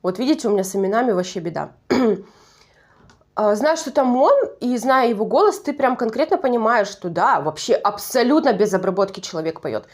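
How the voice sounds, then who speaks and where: A woman's voice: a little high, lively intonation, a little fast. A woman with a Russian Far East accent chatting through a nostalgic TV show review, digressive and correcting herself as she goes. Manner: chatty, digressive, self-correcting, laughs at own slips. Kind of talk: casual solo review commentary.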